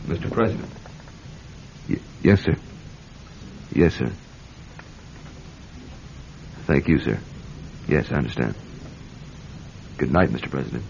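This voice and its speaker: An actor playing a dutiful, guarded army general with a restrained, formal manner in a classic radio drama. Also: male voice, extremely low pitch, expressive, moderate pace, gravelly, pauses often, heavily acted. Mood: formal, obedient. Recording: dull, noisy old radio recording.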